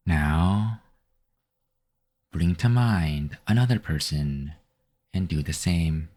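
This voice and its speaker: A man with a very low voice leads a guided meditation. He speaks very slowly and calmly, reading in a measured, instructive way. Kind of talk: scripted guided meditation narration.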